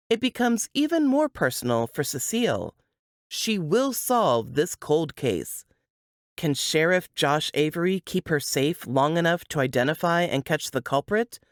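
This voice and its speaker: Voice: medium pitch, no room sound, clean studio sound. A narrator giving a scripted, dramatic reading of a suspense book blurb.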